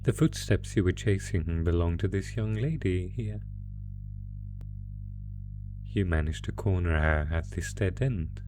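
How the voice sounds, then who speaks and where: A man voicing a sadistic, theatrical monster who taunts a prisoner in a horror roleplay, talking with drawn-out pauses. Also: low-pitched, music in the background. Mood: smug, mock-sweet. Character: sadistic, gloating male monster stalking its prisoner through a maze. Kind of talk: ASMR horror roleplay audio drama.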